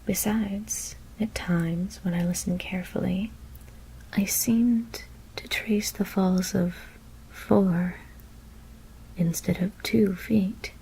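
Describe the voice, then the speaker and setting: A woman whispering a gothic horror story as ASMR narration, hushed and deliberate, with careful pauses. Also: on the low side, gravelly, a little hiss or hum, low-quality recording.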